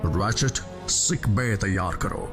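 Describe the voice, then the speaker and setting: A man voicing a calm robot leader who gives orders in a dubbed cartoon. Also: on the low side, takes it slowly, music in the background. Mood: commanding. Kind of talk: Hindi cartoon dub.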